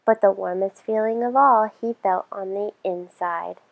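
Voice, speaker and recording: A woman reading a children's story aloud in an expressive storytelling style. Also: mid-range voice, unhurried, gravelly.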